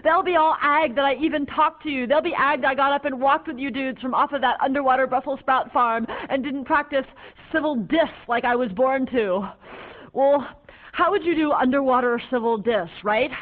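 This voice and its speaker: A woman playing a high, paranoid young raver rambling dreamily to police officers, her voice tinny and lo-fi. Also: moderate pace, lightly acted. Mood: spaced-out. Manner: dreamy, babbling, whimpering. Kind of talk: comic stage monologue. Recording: tinny phone-like lo-fi recording.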